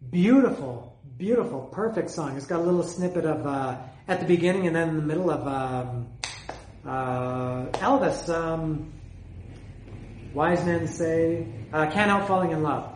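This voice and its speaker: A man with a slightly high voice talks loosely about his album collection, stumbling and repeating himself as he goes. His voice is wearing thin, and the room around him rings with echo. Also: measured pace, a little hiss or hum. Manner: rambling, hesitant, repeats words. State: losing his voice. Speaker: music collector reviewing albums. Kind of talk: casual solo talk about his collection.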